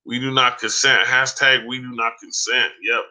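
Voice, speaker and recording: A man chatting casually on a live stream, talking to viewers by name in a loose, rambling way, with a voice starting to go hoarse. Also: mid-range voice, little pitch movement, moderate pace, bright, forceful tone, rough recording. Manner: loose and rambling, many fillers and restarts; addresses viewers by name, says "man" often. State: voice going hoarse.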